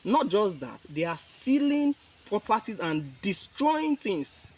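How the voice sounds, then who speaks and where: A man with a Nigerian accent making an earnest, measured public appeal as chairman of a youth group. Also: sits high, sing-song, breathy edge, poor audio. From Niger Delta, Nigeria. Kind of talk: press statement, public appeal.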